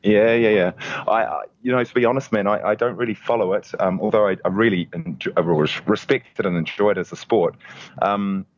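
A man with a New Zealand accent, a guest musician in a casual interview, speaking hesitantly with many pauses and fillers. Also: on the low side, highs cut off. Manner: hesitant, many "um"s, soft laughs.

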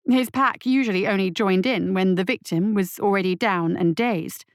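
A woman's voice: mid-range voice, normal speed, clear, resonant tone, no room sound, studio quality. A woman reading a scripted fiction story aloud as its narrator.

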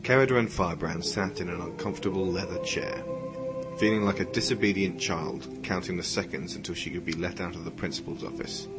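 A man with a deep, low-set voice delivers scripted story narration for an audio drama. Music plays clearly behind him. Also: fairly quick, rough recording. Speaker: narrator and project creator.

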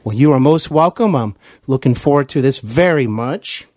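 A man hosting an interview podcast, speaking in a courteous, deliberate and effusive way. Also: mid-range voice, expressive, darkish tone, no echo, studio quality. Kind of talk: scripted introductions and polite interviewing.

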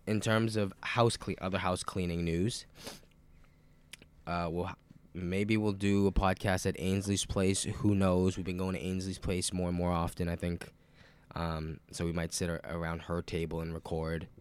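A man with a very low voice who sounds nasal and stuffed up from a cold. He hosts a podcast in casual chat, rambling loosely, swearing freely and filling pauses with lots of "um" and "like.". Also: little pitch movement, smooth. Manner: loose, rambling and profane, with many fillers. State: sick with a cold. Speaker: podcast host.

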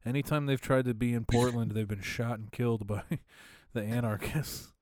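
A man's voice: mid-range voice, fairly even intonation, moderate pace, a little mellow, no echo, studio quality. Joking man in casual chat. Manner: joking storyteller, laughs often.

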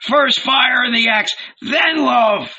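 A man voicing an angry mob of ragged, hungry poor men in a storybook, shouting and rabble-rousing. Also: very high-pitched, takes it slowly, husky, muffled recording, pitched above the performer's own voice, heavily acted. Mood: wild.

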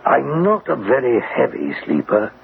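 A man's voice: mid-range voice, wildly swooping pitch, a little slow, gravelly, full character voice. An actor playing a stern, anguished middle-aged father with formal, theatrical delivery in an old radio drama. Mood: fearful, grave. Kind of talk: vintage radio drama acting. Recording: tinny, hissy old radio recording.

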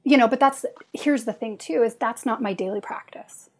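A woman hosting a relaxed chat, her voice sitting a little above the usual pitch. She speaks eagerly and backs her guest up warmly as she talks. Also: moderate pace, slight background noise. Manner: enthusiastic, affirming. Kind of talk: casual conversation.

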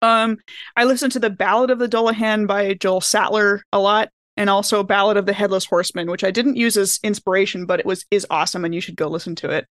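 A woman's voice: medium pitch, fairly even intonation, fast talker, no room sound, studio quality. A woman chatting casually and enthusiastically as a cast member on a round-table podcast.

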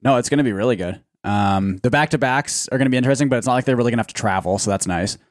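A man's voice: medium pitch, very fast, no echo, clean studio sound. A man co-hosting a podcast in casual, conversational sports chat. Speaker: podcast co-host.

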